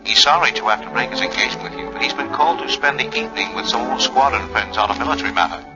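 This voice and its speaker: An actor playing a polite, matter-of-fact hotel desk clerk in a 1940s radio drama. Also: male voice, medium pitch, lively intonation, rapid-fire, natural voice. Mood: polite, impersonal. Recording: muffled 1940s radio recording, music behind.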